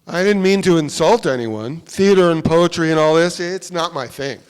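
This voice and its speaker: A man's voice: fairly high pitch, moderate pace, clear, resonant tone, natural voice. A man playing a plain-spoken, awkward retired police detective in a staged play reading, with clipped, unpretentious delivery. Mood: uncomfortable. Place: live on a theatre stage. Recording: reverberant theatre hall.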